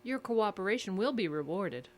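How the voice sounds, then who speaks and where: An actress playing a controlled, secretive interrogator in an audio drama, measured and coolly insistent with clipped questions. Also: female voice, medium pitch, expressive, natural voice. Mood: cool, probing.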